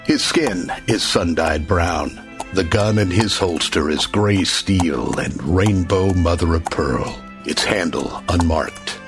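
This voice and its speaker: Brief: deep, measured male announcer, soft music underneath. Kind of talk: scripted radio announcer narration.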